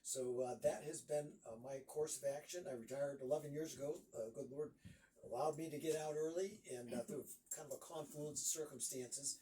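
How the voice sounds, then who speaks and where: Mellow-voiced older man, a bit off-mic. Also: fairly high pitch, fairly even intonation, a little fast, far from the mic, slight background noise, low-quality recording. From Northeast Ohio. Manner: rambling, many uh fillers. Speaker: guest author. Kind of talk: casual interview.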